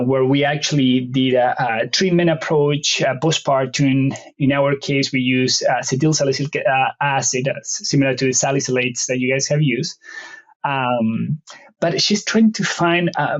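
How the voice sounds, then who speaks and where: A man from Argentina in his late thirties, a native Spanish speaker with an Argentine accent, answering interview questions in a hesitant, conversational way with many fillers and restarts. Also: on the high side. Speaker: veterinary research professor, podcast guest. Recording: slightly dull lo-fi audio.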